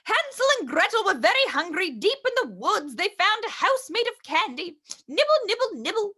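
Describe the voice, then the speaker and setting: A woman's voice: very high voice, big pitch swings, normal speed, pushed and bright. A woman hosting a children's story time, reading a storybook in a theatrical, exclamatory way and addressing young listeners directly.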